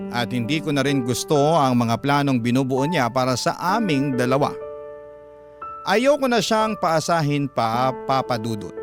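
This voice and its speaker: A man with a Filipino accent narrating a scripted first-person letter in classic radio storytelling style. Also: medium pitch. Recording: music bed under the voice. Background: music.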